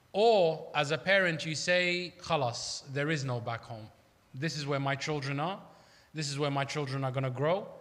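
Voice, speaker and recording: Bright-voiced male preacher in an echoey hall. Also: on the high side. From Iraq. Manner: conversational, emphatic, uses rhetorical questions. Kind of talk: sermon. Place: large hall at an Islamic centre. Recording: echoey hall with long reverb.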